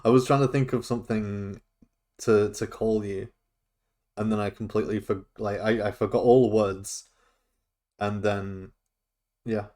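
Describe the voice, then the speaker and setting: A man's voice: lowish voice, fairly even intonation, unhurried, long pauses, a little mellow. Giggly young man hosting a podcast. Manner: chatty, laughs often, trips over words. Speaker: podcast host. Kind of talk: casual chat.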